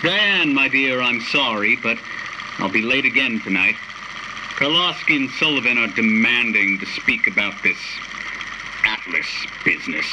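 A man's voice: mid-range voice, expressive, measured pace, background noise, lightly acted. A man acting a smug, condescending businessman husband in a radio drama. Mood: apologetic. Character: smug businessman husband, dismissive, speaking apologetically to his wife.

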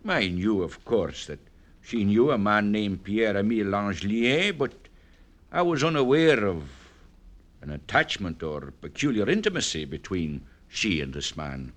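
An actor playing a formal, hesitant merchant suitor in a 1950s radio drama, speaking in measured, halting phrases. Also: male voice, on the low side, very animated.

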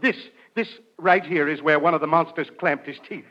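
A man's voice: on the high side, normal speed, lightly acted. A man playing a pompous, touchy, boastful middle-aged uncle who blusters in florid phrases in a 1940s radio comedy. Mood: wounded, boastful. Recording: dull vintage 1940s radio recording.

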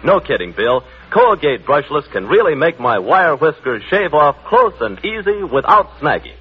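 A man's voice: on the high side, big pitch swings, normal speed. A man reading a scripted testimonial for a 1940s radio advertisement. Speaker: athlete giving a product testimonial. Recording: muffled, rough 1940s radio recording.

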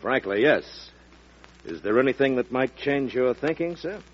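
A man's voice: mid-range voice, lively intonation, unhurried, husky. An actor playing a respectful but uneasy party chairman in a vintage radio drama, deferential yet frank. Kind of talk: 1940s-50s radio drama acting. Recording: dull vintage radio recording.

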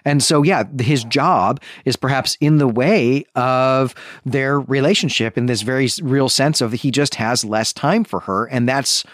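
A man of average pitch talks through fiction as a podcast co-host. His delivery is casual and emphatic, with little tags seeking agreement. He is recorded dry, without audible room. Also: a little slow, few pauses, dry recording. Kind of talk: casual literary discussion.

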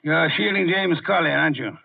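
A pompous, irritable great detective, with a fairly high voice that creaks and growls. He speaks deliberately and theatrically, as in 1950s radio drama. The recording is dull and old. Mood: accusing. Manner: theatrical, deliberate, haughty, grunts and chuckles. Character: pompous, brilliant, irritable male private detective. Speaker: lead actor in detective drama.

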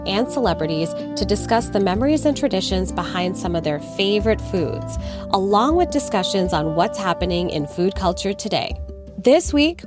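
A young woman from Canada hosting a food podcast, upbeat and friendly, reading a scripted intro and then chatting casually. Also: medium pitch. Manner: upbeat, friendly, laughs easily. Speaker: podcast host.

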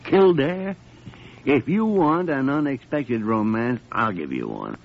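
An actor playing a gruff, crotchety old American senior doctor in a 1940s radio drama, muttering and theatrical. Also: male voice, medium pitch, very animated, takes it slowly, exaggerated voice. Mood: sardonic. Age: older. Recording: muffled, noisy old radio recording.

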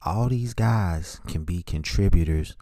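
A man's voice: low-pitched, a little slow, warm tone, dry recording, studio quality. A man hosting a solo sports show, giving plainspoken, opinionated scouting commentary on football players in clipped, note-like phrases.

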